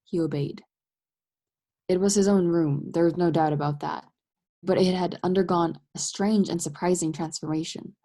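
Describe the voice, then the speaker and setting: A woman's voice: lowish voice, mellow. A woman acting as the narrator of a Victorian Christmas ghost tale in a radio play.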